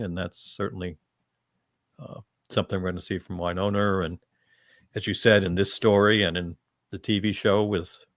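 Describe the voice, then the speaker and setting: Low-voiced man chatting on a panel. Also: dry recording, clean studio sound. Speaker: fan panelist. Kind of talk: casual panel discussion, giving opinions.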